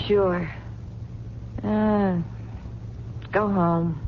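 An actress playing a brassy, kind-hearted working-class waitress in a 1970s radio drama, talking in streetwise, ungrammatical slang. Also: female voice, mid-range voice, steady tone, extremely slow. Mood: brisk, impatient. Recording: dull, noisy old radio recording.

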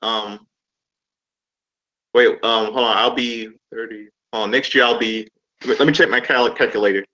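A man in his thirties chats as a show panelist. His voice is bright and forceful, but he speaks haltingly, stumbling and restarting. The audio is dull and lo-fi. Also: on the low side, steady tone. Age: 30s. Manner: halting, stumbling, repeats himself. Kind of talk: casual chat.

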